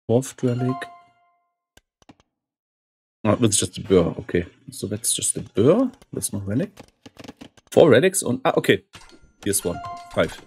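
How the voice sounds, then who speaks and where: A hoarse man hosting a gaming channel, casually commentating a match while thinking aloud and clearing his throat now and then. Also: medium pitch, a little mellow. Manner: keeps saying "interesting" with mild curiosity. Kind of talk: casual live game commentary.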